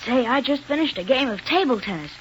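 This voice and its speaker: A woman plays a friendly, eager American boy selling things on old-time radio. The voice is fairly high and bounces around with excitement. The recording sounds dull and slightly hissy. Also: fast. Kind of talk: 1930s radio advertisement.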